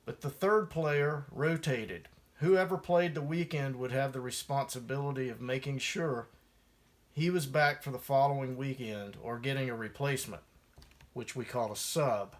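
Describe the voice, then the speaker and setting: A man's voice: medium pitch, normal speed. A man reading his own first-person memoir aloud as a musician, in a wry storytelling manner.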